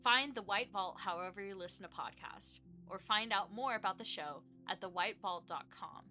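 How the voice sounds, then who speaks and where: A woman's voice: mid-range voice, moderate pace, pushed and bright, soft background music. A woman reading scripted promotional announcements as a podcast producer.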